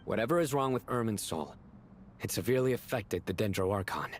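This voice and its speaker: Guy as serious low-voiced general in a game, blunt, formal, faint rumbly noise. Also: expressive, fairly quick, close to an everyday voice. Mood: grave, resolute. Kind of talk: game voice acting.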